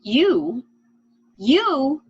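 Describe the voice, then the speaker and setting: A woman's voice: sits high, sing-song, drawn-out words, no room sound, exaggerated voice. Woman acting an anxious mystery heroine, slightly muffled. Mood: shocked. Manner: dramatic, pleading. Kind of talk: amateur mystery play acting.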